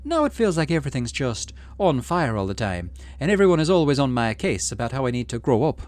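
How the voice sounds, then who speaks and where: A man's voice: mid-range voice, very animated, a little fast, a little mellow, pitched above the performer's own voice, close to an everyday voice. A man voicing an anxious, innocent teenager who sounds plaintive and tries to be brave, in a game read-aloud. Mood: upset, plaintive. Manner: plaintive, wobbly, trying to hold it together.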